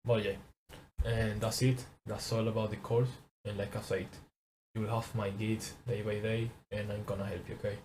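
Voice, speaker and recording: A young man from Venezuela with a Venezuelan Spanish accent, teaching a trading course in halting English as a second language, often repeating words. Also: on the low side, level tone, darkish tone, slight background noise. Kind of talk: casual screen-share lesson.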